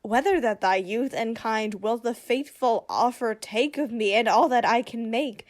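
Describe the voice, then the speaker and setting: A woman acting a witty young noblewoman disguised as a male youth in a Shakespearean radio play, with brisk, teasing, theatrical delivery. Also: fairly high pitch, natural voice. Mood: mocking recital.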